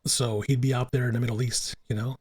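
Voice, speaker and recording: A man's voice: mid-range voice, level tone, fast talker, darkish tone, husky. A man whose first languages were Italian and Spanish, talking earnestly on a podcast and repeating himself for emphasis. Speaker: podcast co-host. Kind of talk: casual podcast chat.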